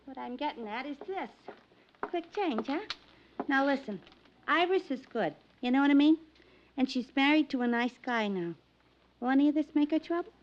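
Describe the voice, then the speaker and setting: Streetwise American showgirl, high-pitched, brassy and guarded, 1950s radio drama on a dull old recording. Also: animated, moderate pace, a little hiss or hum. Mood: confiding. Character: tough, wary, streetwise showgirl, loyal to her friend.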